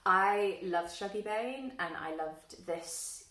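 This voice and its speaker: A woman's voice: medium pitch, takes it slowly, pushed and bright. Wry woman in an echoey room. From London, England. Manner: self-deprecating, wry, many fillers. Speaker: book vlogger. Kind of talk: casual self-introduction to camera. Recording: echoey room, a little far from the mic.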